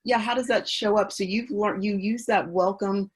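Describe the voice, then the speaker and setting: A woman's voice: mid-range voice, fairly even intonation, moderate pace, slightly rough. A woman moderating an online webinar in a conversational, prompting and affirming way. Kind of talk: conversational interviewing.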